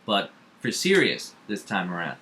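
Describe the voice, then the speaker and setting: Bright-voiced young man, casual and opinionated. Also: low voice, huge pitch swings, moderate pace. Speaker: co-host, the host's son. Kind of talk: casual chat about comics. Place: kitchen at home. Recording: faint background noise.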